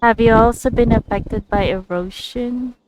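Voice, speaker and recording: A woman's voice: fairly high pitch, measured pace, noisy background. A woman giving casual let's play commentary, reacting and musing aloud as she goes. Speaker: video game streamer.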